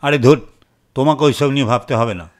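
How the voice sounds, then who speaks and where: A man's voice: medium pitch, quick pace, natural voice. A man voicing a lazy, callous, irritable husband in a cartoon, dismissive and scolding. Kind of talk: cartoon voice acting.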